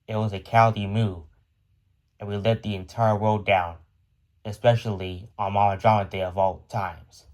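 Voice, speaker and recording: Male actor as solemn emergency broadcast announcer, lowish pitch, formal and grave, scripted end-of-world bulletin. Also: darkish tone, close to an everyday voice. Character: grave radio and TV announcer giving doomsday broadcast.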